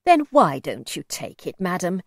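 A woman voicing a gentle, pleading, very old gentleman in a storybook, well-spoken and old-fashioned in his courtesy. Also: on the low side, very animated, moderate pace, creaky, dry recording, studio quality, voice pushed down, exaggerated voice. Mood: persuasive. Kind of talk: storybook character voice.

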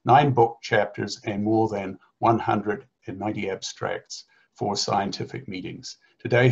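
Host type: middle-aged man, husky and low, formal scripted intros, dull call audio. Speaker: event host introducing speakers. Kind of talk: read introduction. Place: indoor room with virtual city backdrop. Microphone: laptop webcam on video call.

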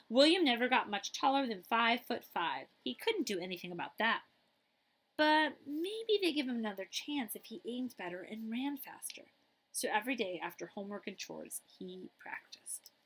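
A middle-aged woman reading a children's picture book aloud, with dramatic emphasis and pauses. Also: mid-range voice, sharp tone, rough recording.

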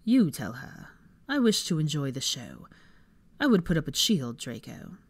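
A sardonic, haughty wizard in middle age, played by a woman reader for a storybook. He drawls slowly and low, with controlled sarcasm and clipped condescension. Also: very animated, creaky, slightly rough, dry recording, full character voice. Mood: amused, sardonic.

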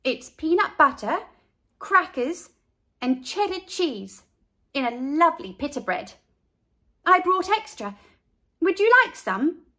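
A woman's voice: high voice, sing-song, slow pace, pauses often, bright, forceful tone, voice pushed up, exaggerated voice. A woman voicing a cheerful, proud little girl in a storybook who likes being different, speaking emphatically. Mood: proud, friendly.

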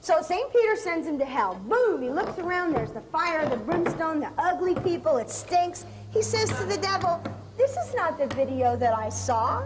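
A woman's voice: extremely high pitch, very animated, normal speed, background noise. A woman co-hosting a comic cooking show, playful and squeamish, telling jokes and questioning the recipe.

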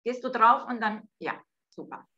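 A woman from Italy with an Italian accent, hosting an online talk by video call from home, speaking slowly and hesitantly with many fillers. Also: fairly high pitch, a crowd in the background. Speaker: host. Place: home room, indoors. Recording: dull lo-fi video call audio.

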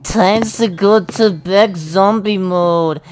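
Woman as a very slow, goofy schoolgirl. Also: mid-range voice, a little mellow, faint music behind, lightly acted. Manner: silly baby-talk, drawn out. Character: goofy, playful schoolgirl with babyish mangled words. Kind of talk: fan anime parody dub.

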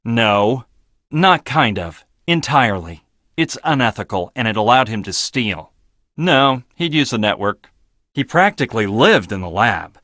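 A man's voice: medium pitch, very animated, measured pace, fairly bright tone, no room sound, clean studio sound. An actor playing a prickly, condescending young male scientist in a video game, with clipped, sardonic delivery. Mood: dismissive, blunt. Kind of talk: video game character dialogue.

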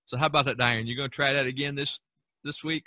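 A man's voice: medium pitch, steady tone, fast talker, bright, forceful tone, no echo. A man hosting a casual podcast chat among artist friends, rambling a little and repeating his phrases. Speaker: podcast host.

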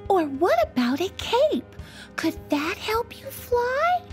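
A woman's voice: very high-pitched, wildly swooping pitch, slow pace, faint music behind, voice pushed up, exaggerated voice. A woman voicing a curious, caring little girl in a children's storybook, wondering aloud in a singsong way. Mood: curious, eager.

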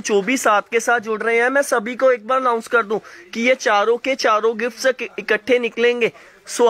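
A man running a fast live sale of clothing, calling viewers by name and coughing from a sore throat. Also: very high voice, pushed and bright. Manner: fast hyped patter, addresses viewers by name. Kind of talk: live sales pitch to viewers. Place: indoor shop floor, clothes laid on mat.

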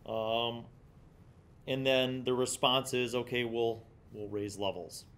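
A middle-aged man hosting a review, explaining and reading aloud in a deliberate way, recorded at a desk microphone in a small studio. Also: steady tone, fairly bright tone. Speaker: host of a tabletop gaming review series. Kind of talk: review commentary mixed with reading aloud from a magazine. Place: small indoor studio with block wall. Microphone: large condenser mic on desk. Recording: low rumbling background noise.

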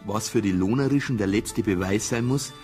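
An actor playing a dogged, suspicious police investigator in a radio crime drama, brooding and colloquial with a Bavarian accent. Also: male voice, lowish voice, moderate pace, dark tone, natural voice. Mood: deliberating. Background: background music.

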